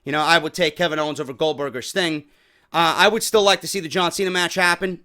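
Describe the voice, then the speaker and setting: A man hosting a wrestling news show, giving blunt, profane opinions and getting loud when worked up. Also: a little high, steady tone, quick pace. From New York.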